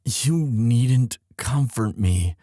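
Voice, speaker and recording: A man playing a lovestruck young prince in an intimate audio roleplay, tender and protective, with a halting, emotive delivery. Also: medium pitch, expressive, mellow, no echo, clean studio sound. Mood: distraught, tender. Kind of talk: boyfriend ASMR audio roleplay drama.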